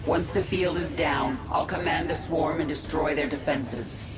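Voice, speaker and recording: A woman's voice: low voice, steady tone, measured pace. A woman playing a cold, vengeful alien queen in a video game, giving clipped, authoritative orders with resolute force. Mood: commanding. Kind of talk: video game cinematic acting. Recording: echoey, loud music behind.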